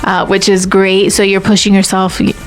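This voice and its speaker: A woman's voice: mid-range voice, monotone, moderate pace, over music. Young woman, hosting a loose chat, talks in run-on sentences with plenty of "like". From Miami. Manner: chatty, rambling, peppered with "like" and "you know". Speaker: podcast host. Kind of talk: casual interview chat.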